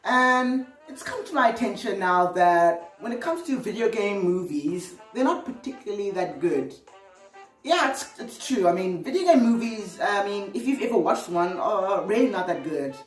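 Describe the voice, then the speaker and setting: A woman's voice: mid-range voice, sing-song, moderate pace, recorded from a distance. Female video host, rambling and exclamatory, recorded off-mic in an echoey room with music underneath. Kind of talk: casual opinionated video commentary.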